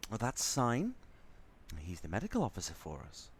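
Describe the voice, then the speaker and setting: A man's voice: lowish voice, wildly swooping pitch, moderate pace, a little hiss or hum. A man playing a sardonic, grudgingly fond spaceship crewman in an audio drama, rambling as he goes. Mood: confiding. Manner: sardonic, rambling, softening into fondness. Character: snarky male spaceship crewman, grudgingly fond, talking to baby.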